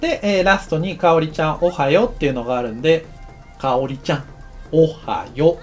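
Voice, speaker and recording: A man giving a casual, explanatory tutorial as an anime director, thinking aloud in short halting phrases. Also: a little high, faint music behind. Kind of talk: instructional lesson narration, casual and explanatory.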